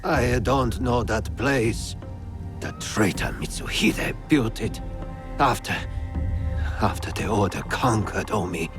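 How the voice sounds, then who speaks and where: Slow, drunken old fallen lord. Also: male voice, fairly low pitch. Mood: weary. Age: older. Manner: slow, self-pitying, dramatic. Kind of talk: video game cutscene acting. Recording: loud music behind the voice. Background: loud music.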